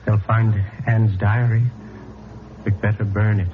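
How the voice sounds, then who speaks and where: Actor as an anxious low-voiced man, tinny old radio. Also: flat delivery, unhurried, natural voice. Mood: fearful. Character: anxious man hiding from police. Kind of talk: 1950s radio drama acting. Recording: narrow, noisy 1950s radio recording.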